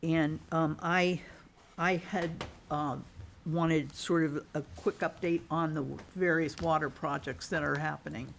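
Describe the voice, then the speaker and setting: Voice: medium pitch, fairly even intonation, unhurried, creaky. Chair of a town committee, hesitant with lots of ums, faint hiss behind. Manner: hesitant, many fillers. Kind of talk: chairing a meeting. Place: town meeting room.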